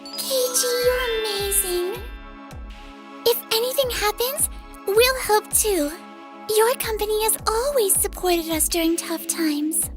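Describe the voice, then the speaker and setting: Woman acting a very high-voiced young anime businesswoman. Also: takes it slowly, soft background music. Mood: warm, supportive. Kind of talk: scripted manga dub acting.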